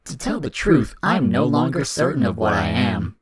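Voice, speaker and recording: A man voicing an eerie, coldly formal demon child, deliberate and menacing, in an audio fiction. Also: mid-range voice, very animated, crowd noise, voice pushed up, lightly acted. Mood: cold, reasonable.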